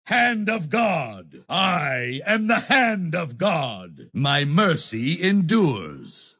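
A commanding holy knight from a fantasy game. His voice is gravelly and husky, sweeping widely in pitch. He declaims with solemn, grandiose conviction, proud and zealous. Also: a bit bright, heavily acted. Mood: fervent, exalted. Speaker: video game character voice. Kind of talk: theatrical fantasy game voice lines.

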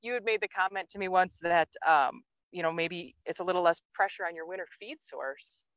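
A woman's voice: on the low side, a little fast, fairly bright tone, smooth, no room sound, highs cut off. A woman hosting an outdoor farm interview, upbeat and summing up her guest's answers. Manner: upbeat, leading questions with recaps. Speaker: host, soil health interviewer.

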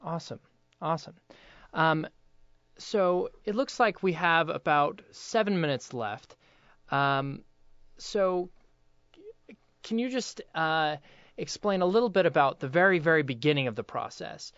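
A man's voice: a little high, expressive, a little slow, pauses often, a bit bright, no echo, highs cut off. A young man hosting a casual interview in a hesitant, loosely phrased way. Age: young adult. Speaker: interviewer and voice actor.

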